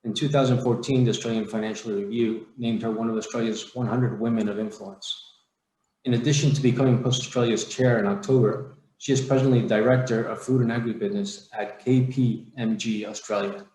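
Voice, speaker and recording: A man's voice: medium pitch, fairly even intonation, a little fast, dark tone, reverberant, a little hiss or hum, poor audio. A man hosting an interview, reading a prepared introduction and then asking questions plainly.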